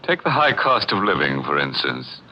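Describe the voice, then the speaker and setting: Very deep macabre old-time radio host. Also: male voice, very animated, moderate pace, exaggerated voice. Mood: gleefully morbid. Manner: sardonic, punning, theatrical. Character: macabre wisecracking male horror-show host, gleefully morbid. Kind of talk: 1940s radio horror hosting. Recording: muffled old radio recording, loud organ music.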